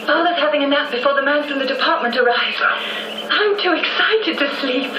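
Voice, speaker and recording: An actress playing an earnest, adoring young upper-class daughter in a radio drama, breathlessly sincere in manner. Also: female voice, high voice, fairly quick, natural voice. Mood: grateful, adoring. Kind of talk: 1990s radio drama acting. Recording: very noisy, echoey radio copy, distant.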